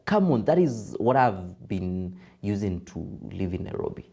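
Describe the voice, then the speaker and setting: A young Kenyan man with a deep voice, a broadcaster and voiceover artist chatting as a podcast guest, laughing easily and mixing in Swahili. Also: sing-song, unhurried, dark tone. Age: young adult. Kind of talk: casual interview chat.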